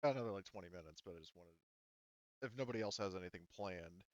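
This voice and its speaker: A man's voice: sits low, fast talker, dry recording, highs cut off. A man acting as game master, narrating a tabletop roleplaying game in a casual, conversational style.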